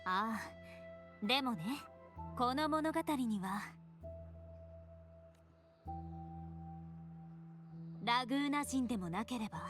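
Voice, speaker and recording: A woman's voice: fairly high pitch, expressive, brisk, music in the background, lightly acted. An actress voicing a theatrical female bard who recounts heroic legends lyrically in a video game. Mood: playful storytelling. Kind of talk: dramatic storytelling in a video game.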